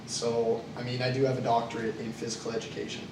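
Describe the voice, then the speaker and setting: Young man, flat delivery, halting with fillers, distant mic, noisy echoey room. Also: medium pitch. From Canada. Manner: hesitant, with frequent uh and um fillers. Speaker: job candidate in a mock interview. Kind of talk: student role-play job interview. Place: classroom.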